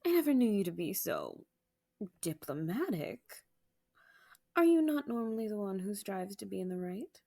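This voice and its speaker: A woman playing a proud, bitter vampire warrior in a dramatic audio roleplay, with a raspy voice and formal, argumentative manner. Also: mid-range voice, lively intonation, normal speed, mellow. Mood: indignant, bitter. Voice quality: raspy, gravelly. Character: defiant, bitter female vampire warrior, proud former farm girl.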